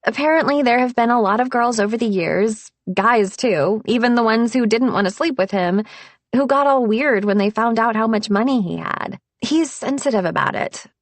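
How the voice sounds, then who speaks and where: A woman voicing a bubbly, fast-talking young woman who is the narrator's best friend, chattering with cheer. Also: on the high side, dry recording, voice pushed up. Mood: nervous. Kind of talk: audiobook character voice.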